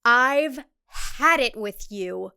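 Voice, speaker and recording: Defiant young thriller heroine, acted by a female narrator, highish voice, emphatic delivery. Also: expressive, drawn-out words, gravelly, pushed and bright, clean tone, exaggerated voice. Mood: fed up. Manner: emphatic, indignant. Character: defiant, resolute young woman confronting a villain. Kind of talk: thriller audio drama acting.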